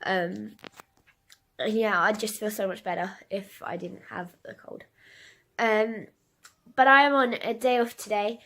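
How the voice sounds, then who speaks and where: A woman's voice: medium pitch, unhurried, pauses often, a bit bright. A young woman chatting casually in a video diary, congested with a cold and a cough. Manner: chatty and rambling, with many fillers. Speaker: vlogger.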